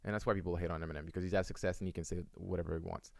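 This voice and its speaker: A man's voice: low voice, steady tone, rapid-fire, gravelly, darkish tone, no room sound. A young man whose first language is French, answering viewers' questions in a casual live-stream, rambling with many fillers and restarts.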